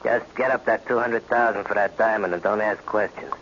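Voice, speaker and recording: An actor playing a curt, secretive underworld tipster, brusque and evasive, in 1940s radio style. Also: male voice, fairly low pitch, steady tone, fairly quick, natural voice. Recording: muffled old radio recording with hiss.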